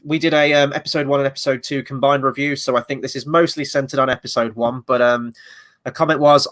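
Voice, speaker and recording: A man's voice: mid-range voice, pushed and bright. A man hosting a casual review chat, a little stuffy from a cold, rambling through his opinions with fillers. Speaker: podcast host.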